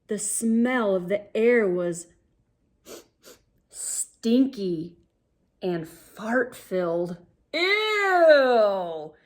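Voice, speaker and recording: A woman telling a children's story in an expressive read-aloud style, theatrical and playful, with dramatic pauses and emphasis. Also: fairly high pitch. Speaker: children's story reader, host.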